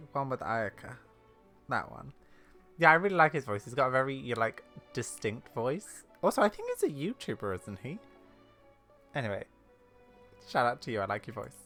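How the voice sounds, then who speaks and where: A casual gameplay commentator with a bright, forward voice at a medium pitch. The talk is chatty, made of short reactions said aloud, and faint music plays behind it. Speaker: gaming streamer.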